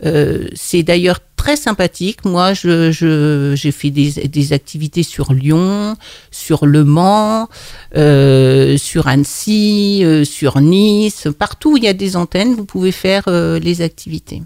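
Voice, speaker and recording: A woman's voice: on the low side, takes it slowly, a little mellow, no echo, studio quality. An older retired woman, chatty and full of hesitations, talking as a guest on a local radio show. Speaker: guest, association coordinator. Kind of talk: casual radio interview.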